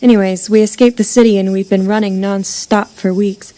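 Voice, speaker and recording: A woman plays a friendly runaway from the city in an audio drama. The voice is medium in pitch and very mellow. The delivery rambles along affably. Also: steady tone, a little fast, lightly acted, poor audio. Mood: eager, ingratiating.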